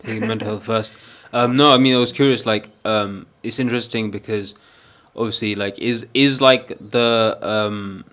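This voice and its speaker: A man hosting a casual podcast, talking haltingly with lots of fillers and some joking. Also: fairly low pitch, steady tone, fairly bright tone. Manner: halting, many fillers and restarts, jokey. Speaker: podcast host.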